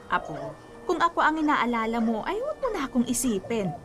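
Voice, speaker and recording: A woman's voice: high-pitched, wildly swooping pitch, fairly quick. A woman playing a doting, pushy old grandmother who scolds and teases in a Filipino radio drama. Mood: playful nagging. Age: older. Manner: scolding, teasing. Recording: music bed behind voice.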